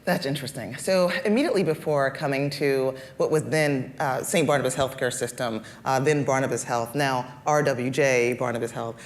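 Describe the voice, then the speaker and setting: A woman guest with a deeper voice answering on a panel, speaking in a deliberate, measured way with formal wording. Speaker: guest, healthcare executive.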